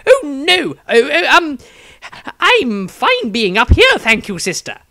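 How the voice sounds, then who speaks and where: Flustered male wizard, done by a narrator: raised pitch, swooping, theatrical fretting, fantasy audiobook. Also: huge pitch swings, slow, creaky, pushed and bright, no room sound, studio quality, full character voice. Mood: panicked. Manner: spluttering, fretful, melodramatic.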